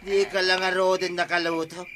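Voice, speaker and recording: A man's voice: high voice, little pitch movement, moderate pace, heavy background noise, lightly acted. A man acting an angry, scolding husband in an Ilocano radio comedy drama. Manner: scolding, exasperated. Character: angry, scolding husband fed up with gambling wife.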